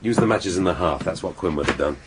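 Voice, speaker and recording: A brooding English senior detective played by a man in a radio drama. His voice sits very deep and he speaks in dry, measured orders with a sardonic edge, against clearly noisy background ambience. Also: close to an everyday voice. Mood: brisk, probing. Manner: dry, measured, peremptory, testing his junior. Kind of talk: naturalistic radio drama acting.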